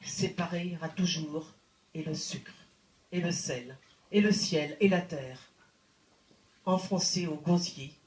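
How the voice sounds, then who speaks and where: A woman reciting poetry in a deliberate, dramatic manner with expressive phrasing and pauses. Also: low-pitched, noisy background. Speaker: poet reciting. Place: indoor live poetry evening venue. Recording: roomy live recital sound. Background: plucked guitar music.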